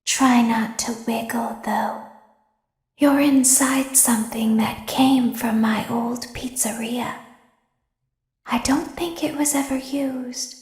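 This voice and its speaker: Woman plays a cruel animatronic girl, whispered threats, unhurried and high-set, echoing space. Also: steady tone. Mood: menacing whisper. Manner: soft menacing whisper. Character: sinister girlish female animatronic, calm and cruel. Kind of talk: fan voice acting of game lines.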